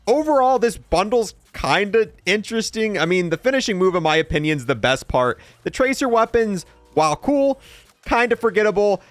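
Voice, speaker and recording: A man's voice: high-pitched, big pitch swings, normal speed, dry recording. A young man giving casual, informal gameplay showcase commentary as a video host, in a matter-of-fact way.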